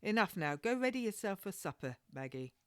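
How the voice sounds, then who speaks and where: A woman's voice: medium pitch, big pitch swings, brisk, smooth, dry recording, studio quality, voice pushed up, natural voice. Woman playing a firm, pious midwife. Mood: brisk, commanding. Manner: firm, admonishing, archaic phrasing. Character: stern, pious adult woman midwife, guarded, proud.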